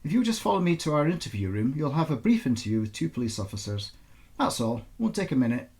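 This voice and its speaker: A man voicing an officious male customs officer in a novel reading, with brisk, routine official patter. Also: medium pitch, very animated, a little mellow, voice pushed up.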